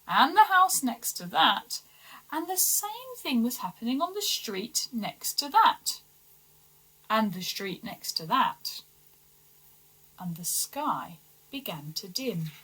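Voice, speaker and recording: A woman narrates a children's story in a fairly high, very expressive voice. She takes her time, placing deliberate emphasis on phrases for dramatic effect. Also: breathy edge, rough recording. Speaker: story reader for schoolchildren.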